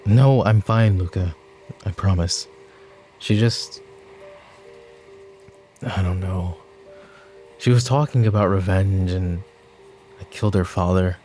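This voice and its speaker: A man playing a weary, exasperated mafia boss in an ASMR audio drama, talking in a dry, halting way. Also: deep voice, mellow, faint music behind. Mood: weary, troubled. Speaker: voice actor in audio roleplay.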